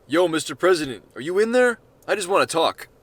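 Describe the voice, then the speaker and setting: A man's voice: on the high side, very animated, fairly quick, fairly bright tone, a little hiss or hum, voice pushed up. A man voicing a laid-back, unbothered slacker in a story reading, talking in a casual, slangy way. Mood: calling out. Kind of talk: amateur short-story character reading.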